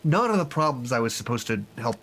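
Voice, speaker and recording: An actor playing an earnest, uneasy staffer at a secret research site in a naturalistic audio drama, halting and restarting as he talks. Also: medium pitch, very animated, a bit bright, a little hiss or hum. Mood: troubled, frustrated. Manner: halting, hesitant, trailing and restarting.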